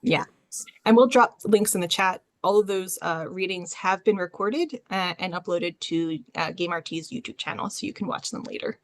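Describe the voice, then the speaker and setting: A woman speaking as a panelist in an online session, explaining informally with frequent hesitant pauses. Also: on the low side. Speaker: panelist, librarian. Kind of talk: informal presentation with slides. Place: online panel, close dry sound.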